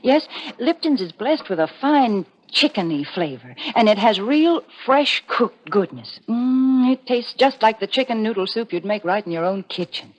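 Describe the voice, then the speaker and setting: A woman's voice: fairly high pitch, animated, moderate pace, few pauses. A woman reading sponsor messages as a 1940s radio announcer, folksy, earnest and persuasive. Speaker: sponsor announcer and show regular. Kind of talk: 1940s radio advertising read. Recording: muffled vintage 1940s radio.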